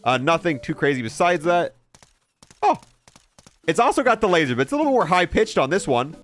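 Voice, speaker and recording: Male game reviewer, highish voice, animated intonation, informal and wry. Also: brisk, faint music behind. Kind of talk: casual gameplay commentary.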